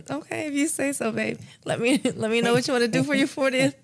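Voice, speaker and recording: A woman's voice: high-pitched, fairly quick, creaky. A woman in her thirties co-hosting a podcast and chatting casually with her husband. She is easygoing and teasing, and she laughs often. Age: 30s. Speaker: podcast co-host.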